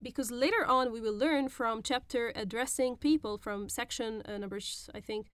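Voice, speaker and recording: A woman hosting a podcast and teaching phrases in a patient, explanatory way, repeating words slowly. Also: fairly high pitch, a bit bright, clean tone, dry recording. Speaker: podcast host and Arabic teacher. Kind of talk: language lesson explaining phrases.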